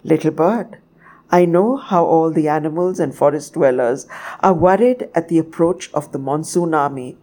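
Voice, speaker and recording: A woman voicing a mighty male sun in a storybook, soft and golden in tone, calm, solemn and gently commanding. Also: low voice. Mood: solemn, urgent. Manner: slow, measured, grave.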